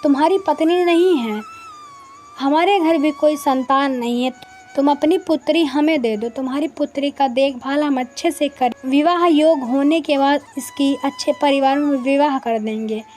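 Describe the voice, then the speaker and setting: Benevolent village landlord, voiced high by a woman. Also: moderate pace, darkish tone, faint music behind, lightly acted. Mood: kind, firm.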